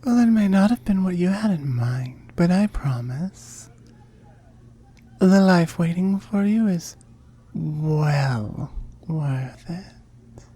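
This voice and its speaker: Breathy, low, teasing demon woman, acted by a woman. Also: lively intonation, dark tone, exaggerated voice. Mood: seductive, persuasive. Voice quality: breathy, often dropping into whispers. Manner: slow, coaxing, teasing. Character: seductive immortal female oni demon, smug and teasing. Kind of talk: intimate ASMR roleplay audio drama.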